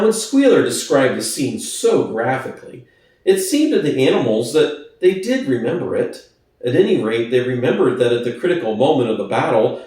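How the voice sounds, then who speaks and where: A man's voice: medium pitch, darkish tone. Echoey male storybook narrator. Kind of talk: dramatic audiobook narration. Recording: echoey room, distant from mic.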